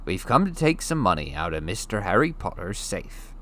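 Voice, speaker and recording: A man voicing a big, bluff half-giant groundskeeper in a storybook reading, booming and hearty with a rustic way of talking. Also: big pitch swings, normal speed, a bit bright.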